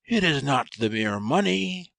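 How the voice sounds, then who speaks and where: A man's voice: medium pitch, very animated, measured pace, gravelly, fairly bright tone, dry recording, exaggerated voice. A man playing a grandiose cult prophet turned coarse swindler in an audiobook drama, blustering theatrically with an American accent. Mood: indignant.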